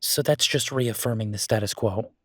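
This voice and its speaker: Man acting as a low, husky, easygoing boyfriend. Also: normal speed, no room sound, studio quality, close to an everyday voice. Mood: earnest, reassuring. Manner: hesitant, rambling, conversational. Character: affectionate young male werewolf boyfriend, earnest and easygoing. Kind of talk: intimate audio roleplay, casual conversational acting.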